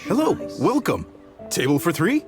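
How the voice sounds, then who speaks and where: A man's voice: high-pitched, wildly swooping pitch, normal speed, loud music behind the voice. A man voicing a proud, welcoming restaurant chef in a video game, with a jovial, theatrical manner.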